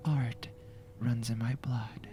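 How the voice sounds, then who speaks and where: Man playing a slow, flamboyant ghoul artist. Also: mid-range voice, level tone, close to an everyday voice. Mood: theatrical, delighted. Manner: theatrical, playful, drawn-out, breathy asides. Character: flamboyant, teasing male ghoul artist and mask maker. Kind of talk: anime fan audio roleplay with ASMR touches. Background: faint music.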